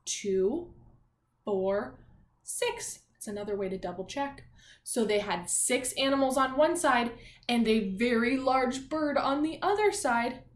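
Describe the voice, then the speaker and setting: A woman teacher talking young children through a read-aloud and math lesson in an animated, encouraging, sing-song way. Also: a little high, measured pace. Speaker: primary school math teacher. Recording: echoey room, faint background noise.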